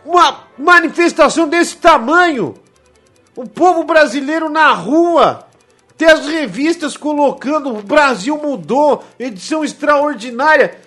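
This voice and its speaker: A man from Brazil hosting a comedy radio show, loudly exasperated and scolding his co-host. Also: very high-pitched, animated, measured pace, faint music behind.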